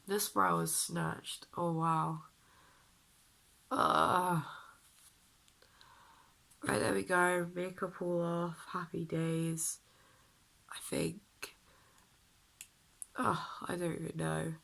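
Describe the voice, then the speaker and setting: A young woman with a deep, husky voice, sounding ill and croaky, chatting casually to the camera about her makeup and feeling sick. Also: level tone, very slow, long pauses, fairly bright tone. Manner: chatty and self-deprecating, sighing. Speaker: beauty vlogger.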